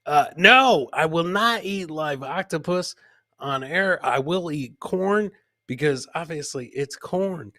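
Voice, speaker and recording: A man's voice: fairly high pitch, lively intonation, takes it slowly, bright, forceful tone, no room sound, studio quality. A man hosting a casual comedy clip show, chatting to his co-host and viewers and often repeating phrases for comic effect. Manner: chatty, addresses co-host and live viewers by name.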